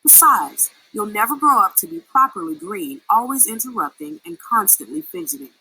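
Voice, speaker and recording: Woman as a grumpy old male snake, lively. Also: medium pitch, moderate pace, husky, full character voice, low-quality recording. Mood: irritated. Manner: complaining, scolding.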